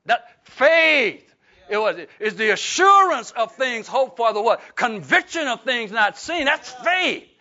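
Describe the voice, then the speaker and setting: A man's voice: very high-pitched, sing-song, a little slow, bright, forceful tone. An older man, preaching a folksy Bible-study sermon full of fillers and restarts. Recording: dull lo-fi recording.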